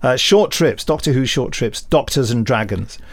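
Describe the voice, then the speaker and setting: A man's voice: medium pitch, expressive, normal speed, vocal fry, no room sound. A man co-hosting a podcast with casual news chat and rambling, hesitant asides. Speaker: podcast co-host, script editor and director.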